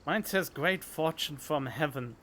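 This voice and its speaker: A man's voice: fairly high pitch, unhurried, a bit bright, a little hiss or hum. A man giving casual, wry playthrough commentary as a gaming streamer. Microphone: studio microphone. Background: game music.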